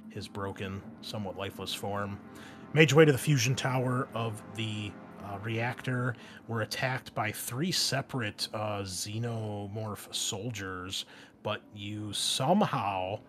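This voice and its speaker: A man's voice: mid-range voice, a little hiss or hum. A man hosting a tabletop roleplaying game as game master, recapping in a casual, rambling, joking and teasing way. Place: indoors at a desk.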